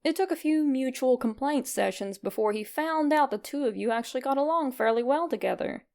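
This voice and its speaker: Woman with a highish voice reading a fanfic story out loud, expressive narration. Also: brisk, vocal fry. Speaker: fan fiction narrator.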